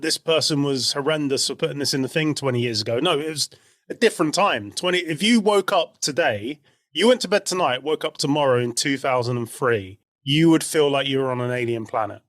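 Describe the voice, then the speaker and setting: A man's voice: fairly high pitch, a bit bright, dry recording, studio quality. A British man co-hosting a podcast, giving his opinions in casual, conversational speech. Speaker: podcast co-host.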